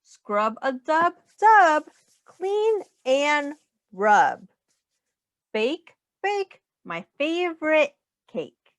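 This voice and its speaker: A woman's voice: high-pitched, very animated, very slow, dry recording. A woman reading a children's picture book aloud in a sing-song way, as a teacher speaking simply to young children.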